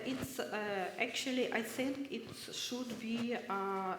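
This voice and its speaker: Hesitant woman in a hall. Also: on the high side. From Ukraine. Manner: halting non-native English, frequent hesitations. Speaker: research fellow speaking at a workshop. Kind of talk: workshop panel talk. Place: conference hall. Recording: reverberant hall, faint background noise.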